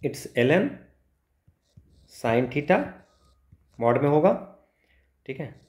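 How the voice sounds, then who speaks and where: A man's voice: mid-range voice, lively intonation, drawn-out words, darkish tone. A man with an Indian accent teaching step by step as a maths tutor, in an instructional way, repeating phrases and checking understanding with short tags. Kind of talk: step-by-step exam lesson, explaining worked solutions. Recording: echoey, roomy sound.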